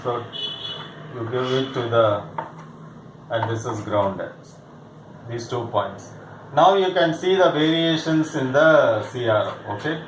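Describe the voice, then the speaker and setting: A male physics teacher explains a demonstration at a slow, halting pace, pausing and repeating phrases as he goes. His voice sits at an average pitch. The room is clearly echoey, with faint noise underneath.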